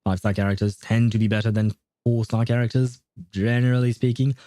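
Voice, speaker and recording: A man's voice: lowish voice, fairly even intonation, fairly quick, dark tone. A man hosting a gaming livestream, chatting casually and rambling as he thinks aloud. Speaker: livestream host, gamer. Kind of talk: casual livestream chat and game advice.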